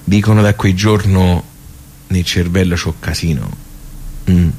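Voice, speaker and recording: An actor with a Tuscan accent playing a plain-spoken village social club regular in a radio monologue, rambling and hesitant, repeating himself with chatty asides. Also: male voice, deep voice, steady tone, a little slow, warm tone, a little hiss or hum. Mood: bewildered, subdued. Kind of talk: theatrical radio monologue, colloquial storytelling.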